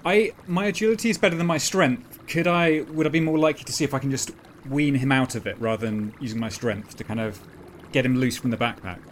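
A man's voice: mid-range voice, quick pace, a little hiss or hum. A man chatting casually as a tabletop game player, hesitant and repeating words.

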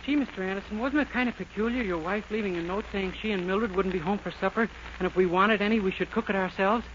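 A man's voice: sits high, fast talker, fairly bright tone. An American man playing a naive, eager, polite young man in a 1940s radio sitcom. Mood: sheepish. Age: young adult. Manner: innocent, earnest, polite. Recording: muffled, very noisy 1940s radio recording.

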